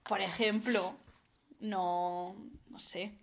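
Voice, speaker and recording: A young woman with an accent from Spain chats casually as a podcast co-host, animated, outspoken and full of slang. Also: medium pitch, extremely slow. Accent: Spanish (Spain). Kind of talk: casual chat.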